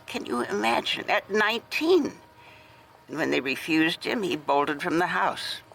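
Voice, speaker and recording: An actress playing a refined, middle-aged wealthy widow in a radio detective drama, with a formal, confiding way of talking. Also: female voice, lowish voice, lively intonation, measured pace, vocal fry, fairly bright tone, a little hiss or hum, heavily acted. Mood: anxious. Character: wealthy widow, refined, gracious, worried hostess.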